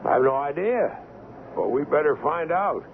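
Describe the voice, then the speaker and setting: An actor playing a sceptical ship's doctor, narrating and acting in measured, conversational 1970s radio drama style. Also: male voice, on the high side, expressive, slight background noise. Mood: probing, doubtful. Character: sceptical male ship's doctor telling his sea story. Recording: tinny old radio broadcast with music.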